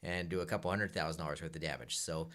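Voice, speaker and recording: A middle-aged man co-hosting a financial advice show, answering in quick, offhand replies. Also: a bass voice, steady tone, fairly bright tone. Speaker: financial advisor and co-host. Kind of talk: casual chat.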